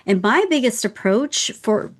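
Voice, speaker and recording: A woman's voice: fairly high pitch, animated, measured pace. A woman co-hosting a casual podcast, explaining things as she thinks aloud. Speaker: podcast co-host.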